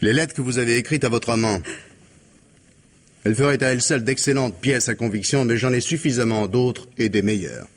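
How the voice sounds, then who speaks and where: An actor playing a stern, implacable police investigator in 1950s French radio mystery style, commanding and deliberate, with a husky voice. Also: male voice, medium pitch, close to an everyday voice. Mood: cold, controlled. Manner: commanding, deliberate, articulate, dictating orders.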